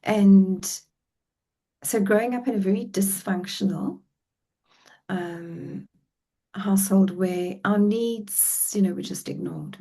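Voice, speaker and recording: A woman's voice: medium pitch, takes it slowly, pauses often, warm tone. A middle-aged woman with a South African accent, telling her life story as a guest on a podcast in a reflective, heartfelt way. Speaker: relationship coach guest. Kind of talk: podcast interview.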